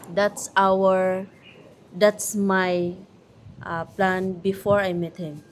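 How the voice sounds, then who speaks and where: A woman with a Filipino accent chatting casually to camera as a vlogger, pausing often to search for English words. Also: lowish voice, a little hiss or hum. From Philippines.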